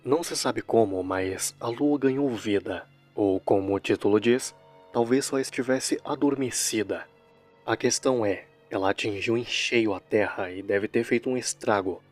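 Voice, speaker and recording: A man's voice: on the low side, normal speed, soft background music. A Brazilian man narrating a story recap in a casual commentary style, with wry, joking asides. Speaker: narrator of a horror series recap video.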